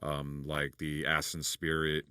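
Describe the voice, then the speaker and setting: A man with a deep voice explaining vocal recording in a relaxed, rambling tutorial, full of fillers and restarts. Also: measured pace, smooth, no echo, clean studio sound. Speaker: recording engineer and producer. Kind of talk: casual studio tutorial.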